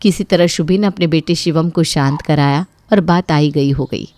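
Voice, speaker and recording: A woman's voice: low-pitched, normal speed, a little mellow, no echo. A woman reading a scripted story as a storyteller. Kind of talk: scripted Hindi bedtime story narration.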